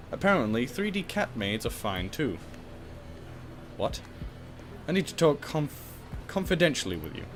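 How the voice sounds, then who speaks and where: Guy doing a lets play, reading game lines out loud, super animated and jokey, music playing behind him. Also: mid-range voice. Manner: jokey, exclamatory, swings between reading and goofy outbursts. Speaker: host of a game playthrough series. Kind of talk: let's play, reading game text aloud with joking asides.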